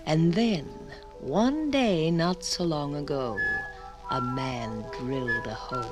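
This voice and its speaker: Slow, theatrical talking rock. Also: male voice, on the high side. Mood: proud. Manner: theatrical, deliberate storytelling to the listener. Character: long-snubbed, proud, eager talking taconite rock. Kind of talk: 1950s radio dramatized narration. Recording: 1950s radio LP, loud music behind.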